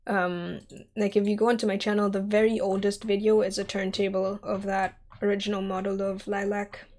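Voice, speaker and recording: A woman's voice: mid-range voice, little pitch movement, normal speed, darkish tone. A young woman in her twenties streaming while she sculpts, chatty and giggly as she answers chat, her voice scratchy from a cold. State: scratchy from a sore throat and cold. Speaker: streamer, 3D artist.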